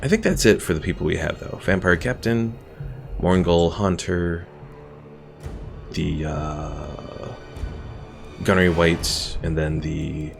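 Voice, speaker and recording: A man's voice: deep voice, slow, creaky, long pauses. A man giving casual livestream commentary on a game and talking with his chat as host. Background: game music.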